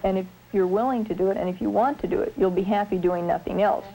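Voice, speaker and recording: A woman surgeon speaking in a measured, articulate way in a reflective documentary interview. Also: medium pitch, steady tone, vocal fry, mellow, low-quality recording. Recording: 1970s film sound with faint noise.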